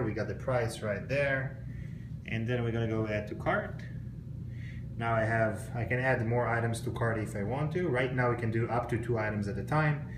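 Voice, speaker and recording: Man, mid-pitched voice, halting with lots of ums, echoey hallway, loud background noise. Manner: halting, many ums and uhs, plain explanatory. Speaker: vending company representative. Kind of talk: product demonstration walkthrough. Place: indoor hallway of a high-rise building. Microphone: handheld phone camera.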